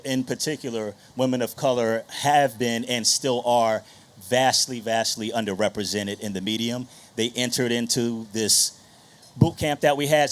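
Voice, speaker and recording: A man speaking informally and conversationally to an audience as a panel moderator on stage. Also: medium pitch. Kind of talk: casual stage introduction of a panel. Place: on stage at a live panel session.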